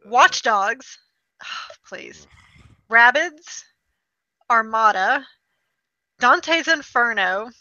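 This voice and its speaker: Bright, wry woman, casual podcast chat. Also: a little high, lively intonation, very slow, long pauses, no room sound, dull audio. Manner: wry, dismissive asides. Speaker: co-host of a video game review show. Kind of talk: casual chat, reading through a list with commentary.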